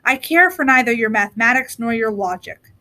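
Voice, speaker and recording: A woman voicing a dejected, high-voiced woodcutter father. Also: lively intonation, fairly quick, sharp tone. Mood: grumbling, resigned. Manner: dejected, protesting. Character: dejected, grumbling woodcutter father, reluctant and tender-hearted. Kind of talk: storybook character reading.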